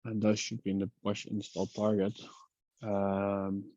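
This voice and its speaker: A man's voice: lowish voice, completely flat delivery, unhurried, warm tone, dry recording. A man talking casually through a technical problem on an online call, muttering as he thinks aloud. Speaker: software engineer in a working group call. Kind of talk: casual technical troubleshooting talk. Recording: dull, lo-fi call audio.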